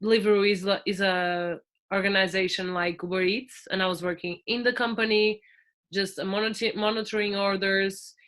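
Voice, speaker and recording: A woman's voice: mid-range voice, fairly even intonation, moderate pace, fairly bright tone. A Portuguese woman in her twenties with a Portuguese accent, a guest chatting casually on a podcast with frequent fillers and restarts. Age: 20s.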